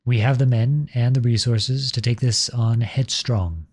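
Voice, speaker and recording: A man's voice: mid-range voice, fairly even intonation, measured pace, darkish tone, close to an everyday voice. A man playing an impassioned, defiant young prince turned soldier in a scripted audio roleplay, with an earnest, dramatic delivery. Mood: formal, restrained.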